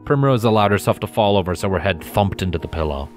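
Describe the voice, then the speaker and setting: Low-voiced man narrating a story. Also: lively intonation, fast talker, soft background music.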